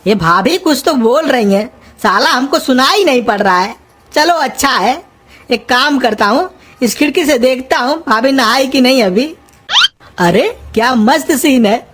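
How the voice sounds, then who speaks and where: Woman voicing a high-pitched cheeky scheming young man. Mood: sly, gleeful. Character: cheeky, scheming young male pumpkin-nicknamed servant. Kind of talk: Hindi cartoon comedy voice acting.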